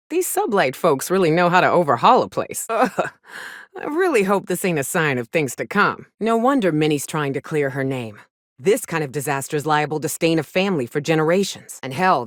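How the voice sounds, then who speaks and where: A gruff, jaded female mercenary from a space frontier video game. Her voice sits on the low side and swings expressively as she talks. She is blunt, sardonic and defiant, and the recording is dry and close. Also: dry recording. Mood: wry, relaxed, then bitter. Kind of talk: video game character dialogue.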